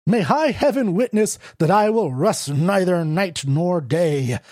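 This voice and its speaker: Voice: medium pitch, lively intonation, unhurried, darkish tone, dry recording, studio quality, pitched above the performer's own voice. A reader voicing a proud, down-and-out male writer who talks bluntly and sardonically. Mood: derisive. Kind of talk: audiobook character reading.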